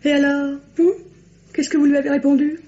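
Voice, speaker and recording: An actress playing a chatty, saucy, coquettish young French chambermaid in a vintage radio mystery. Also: female voice, high-pitched, flat delivery, fairly quick, dark tone, close to an everyday voice. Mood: pert, defiant. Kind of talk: mid-20th-century French radio mystery acting. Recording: muffled vintage radio recording.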